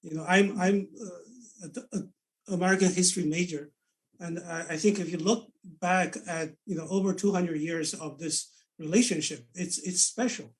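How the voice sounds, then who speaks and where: A man with a Chinese accent and a slightly high voice speaks earnestly in a panel discussion, often doubling his words as he gathers his thoughts. His voice sits a little back from the microphone, with some distance in the sound. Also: fairly even intonation, normal speed. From China. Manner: earnest, repeats words while thinking. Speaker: senior advisor, panel guest. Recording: voice set back from the mic.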